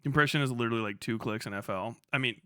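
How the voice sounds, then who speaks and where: A man's voice: medium pitch, very fast, sharp tone, clear, resonant tone, no echo, clean studio sound. A man hosting a livestream with casual, slangy, profanity-laden commentary. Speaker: livestream host.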